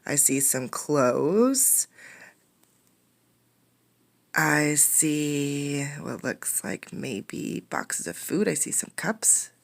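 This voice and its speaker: A woman with a low-pitched voice gives an interactive storybook read-aloud. She speaks as a teacher to children, explaining and inviting them to look and answer. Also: very animated, very slow, vocal fry, pauses often, slight background noise. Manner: instructive, poses questions to young listeners. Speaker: teacher reading a picture book aloud.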